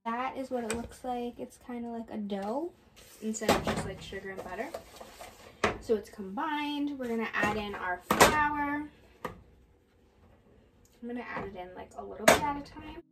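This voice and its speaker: A woman's voice: fairly high pitch, unhurried, pauses often, heavy background noise. A young woman vlogger talking through a recipe in her home kitchen, chatty and offhand, thinking aloud as she bakes. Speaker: baking vlogger. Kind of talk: casual bake-along narration. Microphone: camera on the counter, speaker mostly off-frame.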